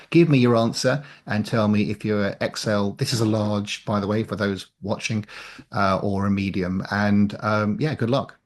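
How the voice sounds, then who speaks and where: A man with a fairly low voice chats casually as a guest on a show. His delivery is halting, moving forward in stops and starts. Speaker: interview guest, audio drama writer. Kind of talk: casual chat.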